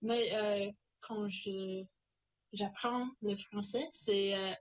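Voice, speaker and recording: A woman's voice: medium pitch, fairly even intonation, very slow, dull audio. A young woman from New Brunswick with an Acadian accent, chatting as an interview guest in a giggly, hesitant way, searching for words. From New Brunswick, Canada. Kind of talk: casual chat.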